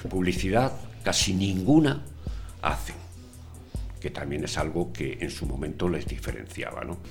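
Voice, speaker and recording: An older man, a community radio veteran, answering interview questions in an unhurried way with many hesitations. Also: deep voice, animated, vocal fry, over music.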